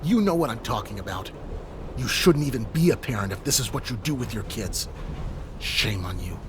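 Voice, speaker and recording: Clear train rumble sits behind a man acting as a weary young man in an audio drama. His medium-pitched voice is bitter and confrontational, with a brooding, sarcastic edge. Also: lively intonation, moderate pace, lightly acted. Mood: indignant. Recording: noisy subway train ambience.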